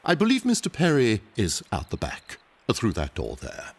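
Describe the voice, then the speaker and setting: An actor playing an earnest, courteous household servant in an audio drama, formal and polite, with a husky voice. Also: male voice, on the low side, huge pitch swings, normal speed, exaggerated voice. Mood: apologetic.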